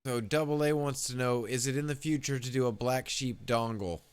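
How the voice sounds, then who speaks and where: A man's voice: medium pitch, normal speed, clean tone. A man hosting a casual livestream interview, relaying questions from viewers in an informal conversational way.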